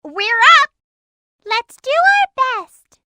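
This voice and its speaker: A woman's voice: very high-pitched, very animated, drawn-out words, pushed and bright, dry recording, clean studio sound, full character voice. An actress playing a bold, peppy, girlish young hedgehog in a video game, perky and assertive. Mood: fired up. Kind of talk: video game character lines.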